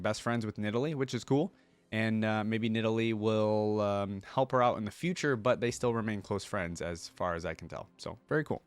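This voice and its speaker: Guy w a lowish voice reading story stuff out loud n commenting casually, very dry close recording, reaction host type. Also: no echo. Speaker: reaction video host reading game lore aloud.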